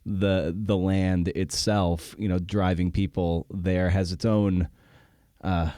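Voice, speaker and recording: A man's voice: low voice, level tone, slow pace, dark tone, dry recording, studio quality. A man hosting a podcast in a casual interview chat, with informal, rambling phrasing. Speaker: podcast host.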